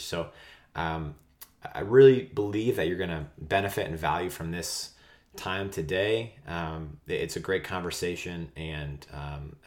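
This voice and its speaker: Deep-voiced devout podcast host. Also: male voice. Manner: halting, many fillers and restarts, devout. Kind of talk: podcast host intro and interview.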